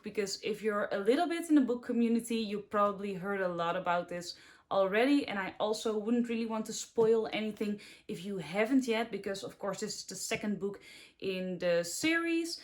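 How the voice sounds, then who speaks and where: A young woman in her twenties speaks English with a Dutch accent. She talks in a chatty, upbeat, slightly rambling way, like a casual book vlog to her viewers. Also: medium pitch. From Netherlands. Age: 20s. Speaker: book vlogger.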